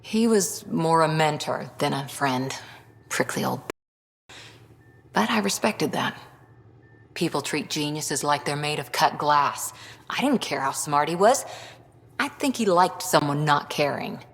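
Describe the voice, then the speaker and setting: Low, creaky-voiced tough woman soldier. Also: lively intonation, unhurried, pauses often, big-room sound. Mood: wry, reflective. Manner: blunt, dry. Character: guarded, tough female soldier, proud second-in-command. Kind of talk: video game dialogue acting.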